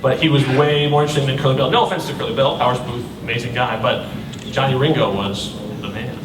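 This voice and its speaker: A man's voice: medium pitch, fairly even intonation, fast. A man moderating a panel, chatting casually and enthusiastically like a fan. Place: convention panel room, indoors. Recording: distant camera mic, roomy hall, loud noise.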